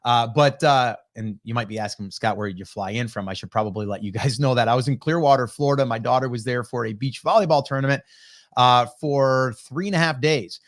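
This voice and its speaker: A man in his forties hosting a casual livestream podcast, chatty and upbeat with loose fillers, his voice still slightly hoarse from a cold, speaking into a desk mic on a video call. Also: medium pitch, brisk. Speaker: podcast host.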